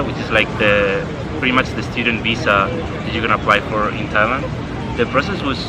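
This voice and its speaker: A young man from Spain, an exchange student chatting casually and filling his talk with "like". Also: fairly low pitch. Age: young adult. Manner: chatty, many "like" fillers. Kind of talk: casual chat. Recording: outdoor traffic and boat noise.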